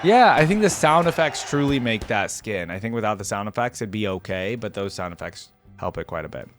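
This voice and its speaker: A man's voice: fairly low pitch, expressive, fairly quick, music in the background, crowd noise. Casual man reacting to game content. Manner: conversational, thinks aloud. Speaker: reaction video host.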